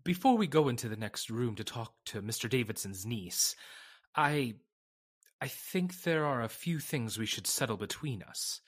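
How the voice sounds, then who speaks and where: Guy doing a serious formal lawyer in a radio play, husky voice that moves up and down a lot. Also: medium pitch, big pitch swings, normal speed. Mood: grave. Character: grave, confiding male lawyer settling an estate. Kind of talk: old-time radio drama acting.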